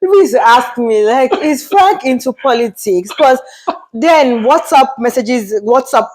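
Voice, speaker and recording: A woman's voice: on the high side, lively intonation, unhurried, darkish tone, rough recording. A woman from Nigeria with a Nigerian accent, co-hosting a family channel in a playful, teasing chat full of laughter. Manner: playful and teasing, laughs often, acts out quotes. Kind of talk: casual chat.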